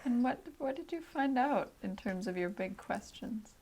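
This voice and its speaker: A woman's voice: a little high, lively intonation, moderate pace, darkish tone, a little hiss or hum. A woman interviewer asking brief prompting questions.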